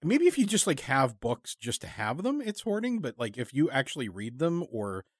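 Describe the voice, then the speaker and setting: A man's voice: medium pitch, big pitch swings, moderate pace, dry recording, clean studio sound. A man hosting a casual interview, musing and joking in a wry, conversational manner. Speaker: interviewer, podcast host.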